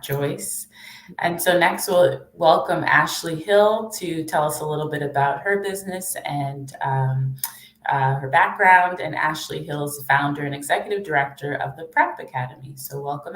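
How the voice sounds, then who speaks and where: A woman's voice: low-pitched, moderate pace, a bit bright, roomy sound. A woman moderating an online panel as host, introducing guests in a conversational way.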